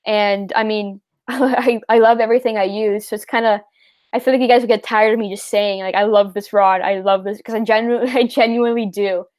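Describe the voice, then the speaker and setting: A woman's voice: mid-range voice, steady tone, a little fast, a little mellow. A young Canadian woman hosting a fishing livestream, chatting casually and answering viewer questions, with lots of fillers and easy laughs. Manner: chatty, rambling, laughs easily, many fillers. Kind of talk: casual live chat reading viewer questions.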